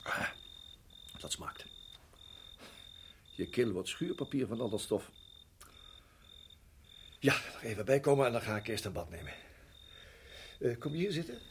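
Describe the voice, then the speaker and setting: An actor in a radio play voicing a reflective, middle-aged married farmer who reminisces in a measured, halting way. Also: male voice, medium pitch, sing-song, gravelly, a little hiss or hum. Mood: tired. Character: weary, reflective married farmer recalling his years in Rhodesia.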